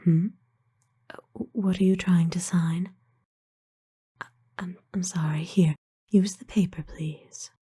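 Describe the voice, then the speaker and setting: A woman acts out a doting, noble lady vampire in an ASMR roleplay. Her voice is a slow, breathy whisper, soft and mellow, with gentle, courtly phrasing that soothes. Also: on the low side, fairly even intonation, heavily acted. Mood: tender, soothing. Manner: gentle, formal old-fashioned phrasing, soothing. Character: kind, doting, aristocratic female vampire owner.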